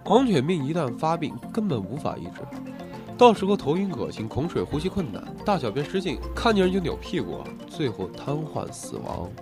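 A man's voice: a little high, big pitch swings, fairly quick, over music. A man playing a sarcastic, officious doctor in an audio drama, lecturing matter-of-factly with mock seriousness. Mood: mock-grave. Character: sarcastic male doctor, glibly alarming, officious. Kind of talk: Chinese audio drama acting.

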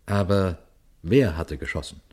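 Sober, low-voiced police detective. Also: male voice, a little mellow, slight background noise. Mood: tense, observant. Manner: measured, formal, deliberate. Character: sober, watchful male police detective narrating his case. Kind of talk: radio crime drama, suspenseful first-person narration and dialogue.

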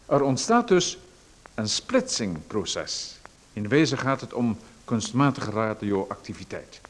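An actor playing a pushy male salesman, lecturing smoothly and persuasively about a dubious hair machine. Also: fairly low pitch, sing-song, slow pace, exaggerated voice. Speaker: radio drama actor. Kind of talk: radio play acting.

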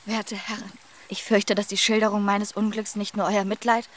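A woman's voice: mid-range voice, level tone, moderate pace, slight background noise, lightly acted. An actress in a radio play voicing a young noblewoman who speaks of her misfortune with formal, earnest sorrow. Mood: sorrowful.

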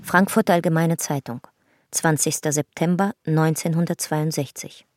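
An actress as a sober documentary narrator in a radio drama, reading reports in a measured, factual way. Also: female voice, low-pitched, lightly acted. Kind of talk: scripted narration.

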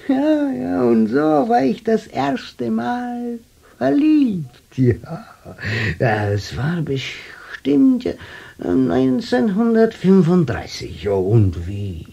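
An actor playing a whimsical old shopkeeper in a radio drama, speaking halting, murmured in a husky, creaky voice. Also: male voice, very high-pitched, very animated, warm tone, dull audio, heavily acted. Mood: nostalgic, animated. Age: older. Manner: halting, murmured phrases with repeated 'ja'. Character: whimsical old junk-shop keeper, sly and wistful.

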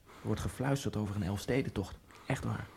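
An actor playing a lonely Egyptian immigrant man in a radio drama, musing in a wry inner monologue that keeps jumping into giddy high outbursts. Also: a little fast, vocal fry, darkish tone, slight background noise. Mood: brooding. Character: lonely male Egyptian immigrant, brooding, self-mocking. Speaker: narrator and main character.

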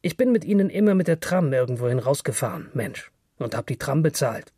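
A cocky young man voiced by a male reader talks in coarse slang with boastful self-assurance. His voice is mid-pitched and recorded dry and close, in the manner of audiobook dialogue. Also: sing-song, brisk, dark tone, slightly rough, no echo.

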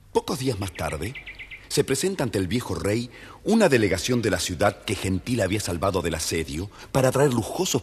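A man playing a friendly storybook narrator for children, husky and expressive, with long reverb. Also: lowish voice.

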